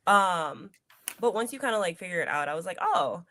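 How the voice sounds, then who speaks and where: A woman's voice: on the low side, expressive, fairly quick, fairly bright tone, dry recording. A giggly upbeat woman, dry sound. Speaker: wrestler, guest. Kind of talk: casual interview chat.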